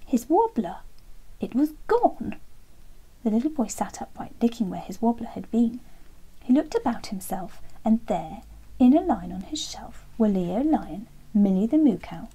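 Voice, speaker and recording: A woman narrating a children's bedtime story with a gentle, expressive storybook delivery. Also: fairly high pitch, breathy edge, low-quality recording.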